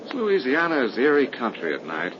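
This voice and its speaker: A man's voice: medium pitch, wildly swooping pitch, fairly quick. A man playing a sceptical, commanding young man in a 1930s radio horror drama, with a theatrical, emphatic delivery. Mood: weary, dismissive. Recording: muffled, noisy 1930s radio recording.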